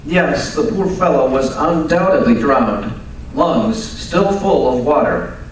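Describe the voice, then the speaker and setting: A man plays a coolly superior radio-play detective with a fairly high voice. He lectures in a measured, pedantic way. The sound is very echoey and distant from the mic, as on a live stage. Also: expressive, a little hiss or hum. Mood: pedantic, composed. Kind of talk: old-time radio drama acting.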